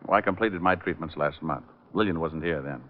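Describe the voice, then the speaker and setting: An actor playing a wisecracking, breezy private detective, wry and flippant in hardboiled old-time radio narration and dialogue. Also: male voice, rumbling low, brisk. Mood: flippant, casual. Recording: muffled, narrow vintage radio recording, music.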